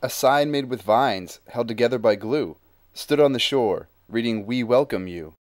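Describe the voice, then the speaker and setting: A man's voice: mid-range voice, normal speed, no room sound, clean studio sound, natural voice. A man voicing a grand, boastful male sea explorer who tells of his voyage in rhyming verse. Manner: rhyming, grand storytelling delivery.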